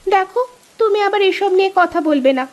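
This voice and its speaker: A woman's voice: very high voice, a little fast. A woman voicing a vain, touchy young village wife in a cartoon. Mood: offended. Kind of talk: cartoon dialogue acting.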